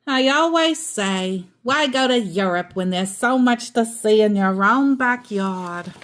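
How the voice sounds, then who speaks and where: A woman's voice: mid-range voice, expressive, slow pace, voice pushed up. A woman voicing a bossy, complaining old great-aunt from a children's cartoon story, with a commanding, emphatic manner. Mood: pleased.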